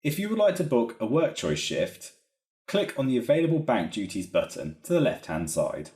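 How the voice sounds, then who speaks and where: A man narrating a software training walkthrough in a methodical, explanatory way. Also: mid-range voice, huge pitch swings, normal speed. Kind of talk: instructional screen-recording narration. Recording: roomy, echoey voice recording.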